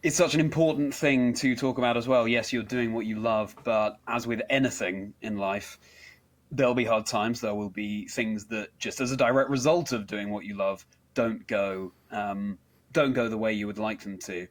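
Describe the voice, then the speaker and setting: A man's voice: on the low side, fairly even intonation, normal speed. A man hosting a conversational interview in an earnest, encouraging and effusive manner. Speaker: interview show host.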